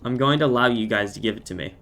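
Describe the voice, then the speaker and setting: A man with an Australian accent and a croaky voice, chatting playfully and joking while he streams a game. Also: on the low side, rapid-fire, a bit bright. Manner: joking, playful, self-mocking. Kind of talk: casual gaming chat.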